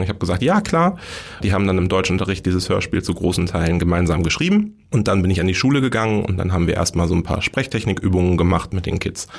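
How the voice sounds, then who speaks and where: A man, explaining things informally and step by step as an interview guest. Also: sits low. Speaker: radio play workshop leader, interview guest.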